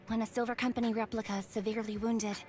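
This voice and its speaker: An earnest, caring young woman from a video game, played by a woman. Her voice is on the high side, with soft music underneath. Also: little pitch movement, very fast, natural voice.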